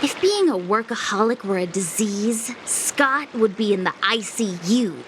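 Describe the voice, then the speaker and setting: A woman's voice: mid-range voice, expressive, unhurried, background noise, natural voice. A woman voicing a shrewd, composed female diviner who lectures bluntly in an anime-style game. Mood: dry, critical.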